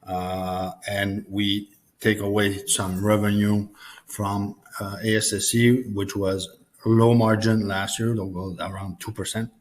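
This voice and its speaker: Male finance executive, low flat voice, hesitant, earnings call presentation. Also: slight background noise. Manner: halting, hesitant delivery. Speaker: chief financial officer presenting.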